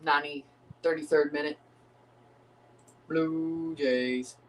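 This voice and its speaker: A man hosting a livestream watchalong, chatting casually and excitably, with a voice gone a little scratchy from overuse. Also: a little high, slow, distant mic. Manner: rambling, excitable, repeats himself. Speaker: streamer hosting a match watchalong.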